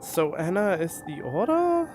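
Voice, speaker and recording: A man doing casual live commentary on a game, reading dialogue aloud and puzzling over it as he thinks out loud. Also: sits high, big pitch swings, unhurried, background noise. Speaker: game streamer.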